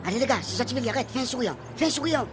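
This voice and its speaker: A man acting an excitable, argumentative, slangy buddy with a Réunion Creole accent in a comic radio serial. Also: very high voice, rapid-fire, background noise. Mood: urgent.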